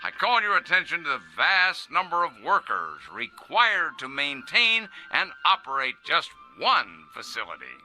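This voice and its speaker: An actor playing a pompous, self-satisfied factory boss in a radio drama, presenting to shareholders with a showy salesman's delivery and grand pauses. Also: on the high side, sharp tone, heavily acted, poor audio. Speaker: company head addressing shareholders. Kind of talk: radio drama acting as a corporate video presentation.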